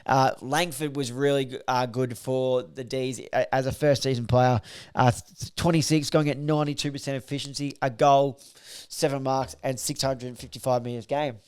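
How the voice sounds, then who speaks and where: A man, slightly croaky from a recovering voice, hosting a casual solo podcast and reeling off football stats with lots of fillers. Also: mid-range voice, flat delivery, normal speed. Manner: rambling, filler-heavy, reels off stats. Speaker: podcast host reviewing football.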